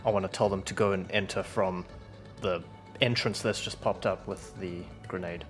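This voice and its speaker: A man's voice: sits low, fairly even intonation, normal speed. A man playing a tabletop roleplaying game, talking casually at the game table. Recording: music playing faintly behind.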